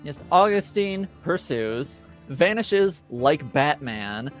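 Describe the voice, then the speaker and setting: A man acting as game master, casually recapping a tabletop roleplaying story in a halting, drawn-out way. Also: fairly high pitch, big pitch swings, soft background music.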